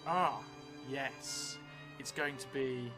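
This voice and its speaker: Halting middle-aged man over music. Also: medium pitch. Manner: halting, pausing between phrases while writing. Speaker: solo tabletop role-play streamer. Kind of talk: unscripted game narration, thinking aloud. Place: indoor room, seated at a table.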